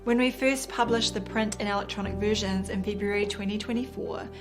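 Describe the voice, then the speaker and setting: A woman with a New Zealand accent reads her nonfiction book aloud at a steady, deliberate pace. Her pitch sits in a middle range, neither high nor low. Faint music plays underneath her voice. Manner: measured, deliberate reading. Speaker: doctor and author narrating her audiobook. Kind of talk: scripted audiobook narration.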